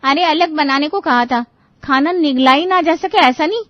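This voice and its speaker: A woman's voice: sits high, moderate pace, no room sound, highs cut off, pitched above the performer's own voice, lightly acted. A woman voicing an outspoken young friend in a comic story, horrified and bluntly scolding with dramatic flair.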